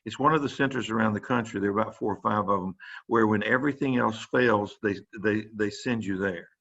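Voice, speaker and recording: A man's voice: lowish voice, a little fast, vocal fry, a bit bright. A man, giving an informal lecture to students as a physician, rambling with many fillers and restarts. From South Carolina. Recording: muffled, dull online lecture audio.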